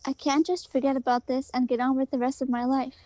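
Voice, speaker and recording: A woman's voice: high voice, level tone, quick pace, dark tone, no room sound, close to an everyday voice. A woman playing an insistent, pleading girlfriend in a story read aloud, urgent and coaxing. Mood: insistent, earnest.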